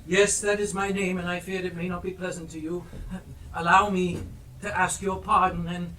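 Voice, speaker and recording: A man's voice: high-pitched, little pitch movement, moderate pace, sharp tone. A man acting an old reformed miser on an amateur stage, eager and apologetic, stammering effusively as he goes. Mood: eager, humble. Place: theatre stage, live performance. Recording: distant echoey live stage recording, faint noise.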